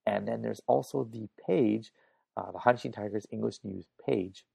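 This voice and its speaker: A man hosting a casual solo podcast, hoarse and raspy, chatting informally and thinking aloud on a makeshift mic setup. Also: on the low side, moderate pace, a little mellow, no echo. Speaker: podcast host. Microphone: makeshift setup without proper recording gear.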